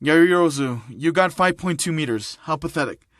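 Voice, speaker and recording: A stern schoolteacher, acted by a male narrator, curt and dismissive. He commands his class in a fairly high male voice, recorded close and dry. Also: lively intonation, moderate pace, fairly bright tone, dry recording.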